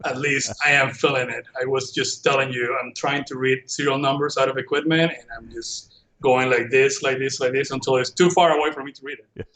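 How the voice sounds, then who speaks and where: A man's voice: medium pitch, steady tone, brisk, a bit bright. A middle-aged man from Venezuela, a native Spanish speaker with a Venezuelan accent, telling his story casually as a podcast guest. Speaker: podcast guest, computer forensics professional.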